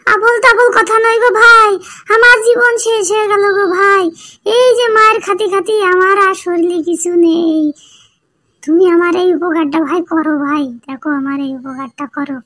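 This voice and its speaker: A woman voicing an aggrieved, pleading young village wife in a comedy cartoon, with a pitched-up voice. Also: steady tone, normal speed, bright, forceful tone, exaggerated voice. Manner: plaintive, insistent.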